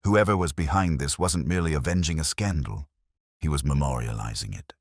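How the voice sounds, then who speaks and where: A man reading a mystery story as a scripted narrator, with a husky voice. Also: very deep voice, a little fast, dry recording, studio quality.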